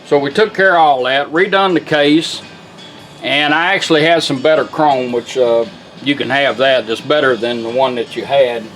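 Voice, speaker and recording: A man's voice: a little high, a bit bright. A plainspoken man, casually demonstrating a product he restored. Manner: folksy, plainspoken.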